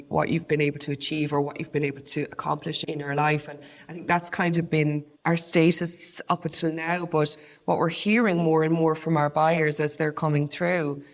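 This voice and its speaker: An Irish woman co-hosting a podcast, talking in an earnest, conversational way. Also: low-pitched. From Ireland. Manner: earnest, conversational, with frequent restarts. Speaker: podcast co-host. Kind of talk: casual podcast chat.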